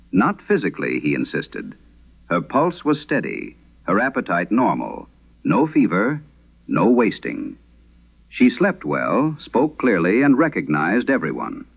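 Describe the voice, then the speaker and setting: A male actor as a haunted old Irish priest confessing in a horror radio play. His low voice is gravelly and grave, rising and falling dramatically as he speaks in measured, solemn phrases. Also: huge pitch swings, dry recording, full character voice. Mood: grave, confessional. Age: older. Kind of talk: dramatic first-person horror radio monologue. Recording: dull lo-fi vintage radio sound.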